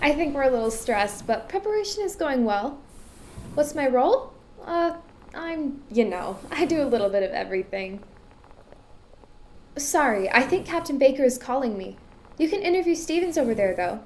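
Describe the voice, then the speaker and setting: A woman's voice: sits high, big pitch swings, normal speed, darkish tone. A woman playing a nervous, scattered expedition crew member in an audio drama, over faint background noise. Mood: flustered. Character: frazzled, stressed young female expedition crew member.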